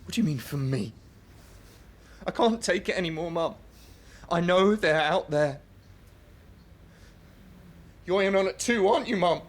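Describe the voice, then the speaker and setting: A man's voice: sits high, lively intonation, a little slow, long pauses. A man, playing a troubled, anxious and paranoid young man in a radio drama. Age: 20s. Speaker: young man with schizophrenia, narrator of his story.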